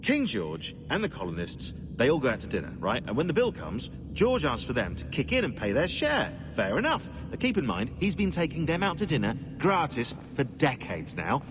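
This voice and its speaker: An actor playing a snide, excitable, pedantic British historian who rambles sarcastically in a video game. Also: male voice, mid-range voice, moderate pace, hardly any pauses, noisy background. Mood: indignant, animated.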